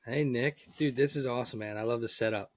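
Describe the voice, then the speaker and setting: A man's voice: medium pitch, fast, no echo. Easygoing male comic, dry sound. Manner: easygoing storyteller, says dude a lot. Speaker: comedian guest. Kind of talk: casual chat.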